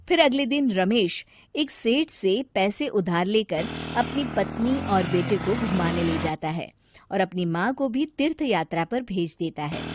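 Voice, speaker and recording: A woman's voice: medium pitch, noisy background. A woman narrator reading a scripted moral story.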